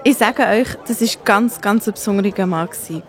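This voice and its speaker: A woman's voice: medium pitch, fairly quick, a faint crowd, close to an everyday voice. A woman playing a young biblical woman in a children's audio drama, speaking expressively with a Swiss German accent. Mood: excited, amazed. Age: young adult.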